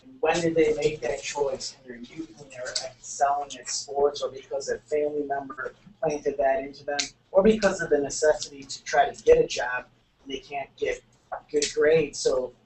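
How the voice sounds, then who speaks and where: A man's voice: a little high, steady tone, moderate pace, darkish tone. A man teaching a sociology class, explaining step by step and repeating key words. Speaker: sociology instructor. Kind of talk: classroom lecture. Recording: echoey room, mic far off, faint noise.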